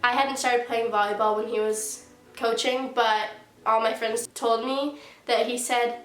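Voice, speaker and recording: A late-teen girl with a slightly high voice tells a casual storytime to her viewers, sounding stuffed up from a sinus cold. She chats loosely and fills her sentences with "like." The room around her adds a noticeable echo. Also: steady tone, a little slow, a bit bright. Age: late teens. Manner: chatty, heavy use of "like". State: stuffy nose from a sinus infection. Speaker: YouTuber. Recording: roomy echo.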